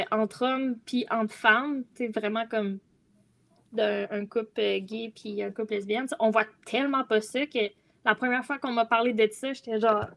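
A woman's voice: on the high side, fairly bright tone. A young woman with a Quebec French accent, chatting casually in a group discussion on a video call from home. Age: young adult. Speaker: guest columnist on a youth talk show.

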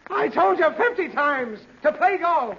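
A man's voice: very high-pitched, animated, measured pace, vocal fry, a bit bright, exaggerated voice. An actor playing a harried, exasperated father in 1930s radio comedy, blustering and whining. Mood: frantic. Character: harried, exasperated father, quick to threaten spankings. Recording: dull, noisy 1930s radio recording.

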